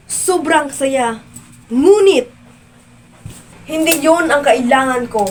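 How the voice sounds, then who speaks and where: A woman performs a vain, wealthy young Filipina-accented lady in a stage-style monologue. Her voice is on the high side and she speaks slowly, leaning on dramatic pauses. A light layer of background noise sits behind the amateur recording. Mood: dramatic, wistful. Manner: deliberate, dramatic pauses and emphasis. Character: vain, wealthy young woman, materialistic, torn over love. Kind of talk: theatrical student monologue in Tagalog.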